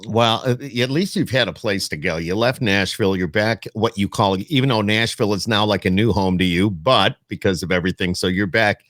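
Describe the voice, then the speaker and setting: A man's voice: on the low side, fairly quick, hardly any pauses, fairly bright tone, no room sound, studio quality. A man hosting a podcast, interviewing guests in a casual, chatty style. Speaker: podcast host.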